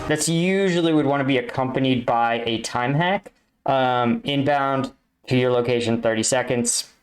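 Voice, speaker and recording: Casting: American male reactor, mid-pitched, casual explainer who thinks aloud haltingly. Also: faint music behind. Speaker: reactor, army combat veteran.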